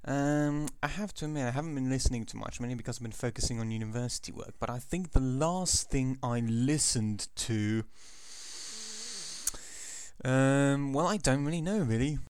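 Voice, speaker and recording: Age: 18. Sex: man. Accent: English. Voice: smooth, dry. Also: mid-range voice, normal speed, darkish tone, no room sound. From England. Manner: rambling, with frequent ums and self-corrections. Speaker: vlogger. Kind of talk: casual chat answering a questionnaire.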